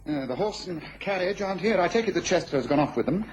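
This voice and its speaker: Detective, English accent, animated intonation, incisive, authoritative, observant, radio drama, faint noise. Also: male voice, sits high, quick pace. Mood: brisk, absorbed. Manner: incisive, muttering deductions, authoritative. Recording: noisy old radio recording.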